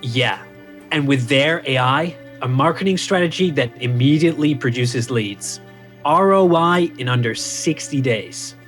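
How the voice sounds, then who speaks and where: Casting: actor as excitable, pushy CEO for audio comedy, male, mid-pitched, insistent sales-pitch delivery. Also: a little slow, soft background music, lightly acted. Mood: eager, insistent. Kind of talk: comedic audio drama acting.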